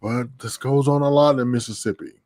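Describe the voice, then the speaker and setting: A man's voice: mid-range voice, a little fast, darkish tone, no echo. A man hosting a live-streamed talk show, blunt and emphatic, and he swears freely. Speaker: host.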